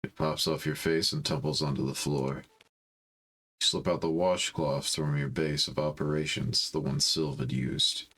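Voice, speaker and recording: A man's voice: rumbling low, fairly even intonation, normal speed, fairly bright tone. A man reading a visual novel aloud as storytime narration, breaking off into informal, slangy commentary.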